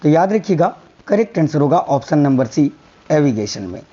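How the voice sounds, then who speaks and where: A hoarse man teaching a quiz-style science lesson for exam prep, repeating each answer and telling viewers to remember it. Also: a little high, very animated, normal speed, warm tone, lo-fi sound. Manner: repeats answers, coaxing "याद रखिएगा".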